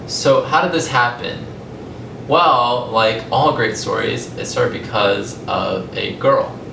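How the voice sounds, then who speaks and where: Young male presenter, American accent, conversational storytelling with measured pauses; roomy, noisy recording. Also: creaky. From California, United States. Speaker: language company founder giving a talk. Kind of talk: public talk, personal storytelling. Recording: echoey, distant mic, rumbling noisy room.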